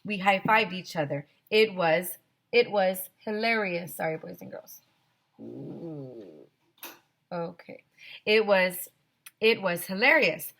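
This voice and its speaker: A woman teacher reading a children's story aloud expressively to her students, with animated emphasis and direct asides to the children. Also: fairly low pitch, slow, vocal fry, long pauses.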